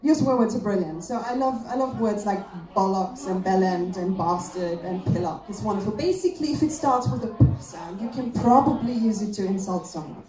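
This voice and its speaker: A woman, a German speaker, performing a self-deprecating, conversational stand-up routine in English with halting pauses, recorded live in a venue. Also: medium pitch, animated, darkish tone, soft background music. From Austria. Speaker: stand-up comedian. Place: comedy festival venue, live on stage. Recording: echoey live room recording.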